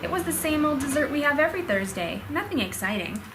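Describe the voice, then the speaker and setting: A woman's voice: high voice, big pitch swings, fast, noisy background, voice pushed up. A woman voicing a friendly, encouraging young boy kitten in a storybook, upbeat and reassuring.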